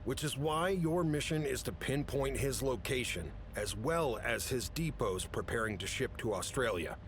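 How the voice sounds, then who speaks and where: A man acts out an authoritative chief in an audio drama, mid-pitched and businesslike. He lays out orders in a measured, matter-of-fact way. There is a faint rumble behind the voice. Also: lively intonation, natural voice. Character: gruff, businesslike male chief briefing agents on a mission. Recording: rumbly background noise.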